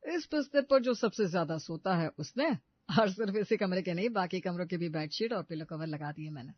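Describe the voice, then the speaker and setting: A woman voicing a caring young husband in a story, mock-formal and gently chiding his wife. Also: fairly low pitch, animated, brisk, dull audio, pitched below the performer's own voice. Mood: teasing.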